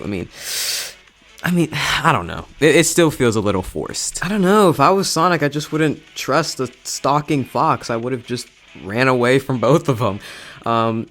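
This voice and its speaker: Young guy doing a casual youtube review, voice swings all over, kinda high, music underneath. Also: a bit bright. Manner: enthusiastic, emphatic, slangy. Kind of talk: casual video movie review.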